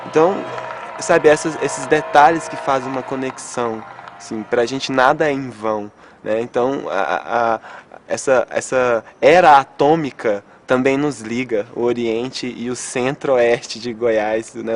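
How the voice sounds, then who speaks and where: Cara de goiânia, artista de teatro, fala pensativo numa entrevista, um chiadinho leve atrás. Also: male voice, mid-range voice, measured pace, slight background noise. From Goiânia, Brazil. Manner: thoughtful, checks in with "né?" often. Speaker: theatre artist. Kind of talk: reflective interview.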